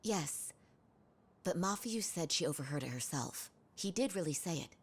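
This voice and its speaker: An actress playing a calm, thoughtful professional woman in a video game, measured and reserved. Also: fairly low pitch, husky. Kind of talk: English video game dub, cutscene acting.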